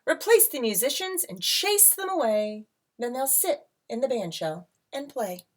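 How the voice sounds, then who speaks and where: A woman's voice: a little high, very animated, a little slow, slight background noise. Woman reading a storybook aloud expressively. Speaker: teacher reading a picture book aloud to children. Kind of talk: expressive storybook read-aloud with casual asides. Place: at home.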